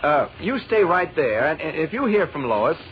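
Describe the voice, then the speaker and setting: A man acting a calm, kindly newspaper reporter in a 1940s radio serial. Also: a little high, normal speed. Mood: urgent, worried. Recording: muffled, hissy old radio recording.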